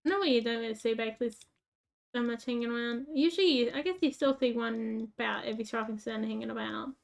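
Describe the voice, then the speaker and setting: A woman's voice: a little high, fast talker, creaky. A woman with a rural Australian accent, chatting casually while playing a game, rambling with lots of fillers and joking about herself. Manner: rambling, filler-heavy, self-mocking. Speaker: gaming YouTuber. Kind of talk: casual gameplay chat.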